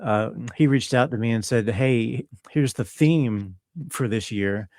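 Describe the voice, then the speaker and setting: A man, a composer guest, answering casually in a relaxed, modest way with short replies. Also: medium pitch, unhurried, no room sound. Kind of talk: casual interview chat.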